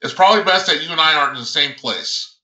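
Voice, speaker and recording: A calm, commanding military officer from a space opera audiobook, read by a man. He is terse and wry, with a sharp, bright voice in an echoing room. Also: a little high. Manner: measured, terse. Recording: roomy, echoing sound.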